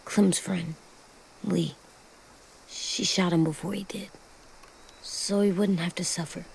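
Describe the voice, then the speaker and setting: Woman voicing a slow-talking, wary little boy. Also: lowish voice, fairly even intonation, creaky, a little hiss or hum, rough recording. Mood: doubtful, sombre. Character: wary, stubborn little boy in a zombie apocalypse. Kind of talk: dramatic video game acting.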